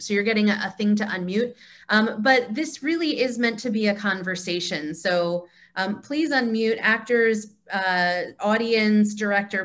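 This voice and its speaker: Female discussion leader, fairly high voice, encouraging, conversational, hesitant phrasing, video call. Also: a bit bright. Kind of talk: conversational facilitation.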